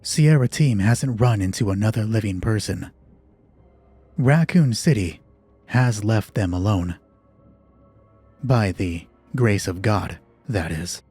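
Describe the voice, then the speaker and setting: A man narrating a scripted, dramatic horror story as host of a solo roleplay, with measured, deliberate phrasing. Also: fairly low pitch, dark tone, slightly rough, no echo, faint music behind.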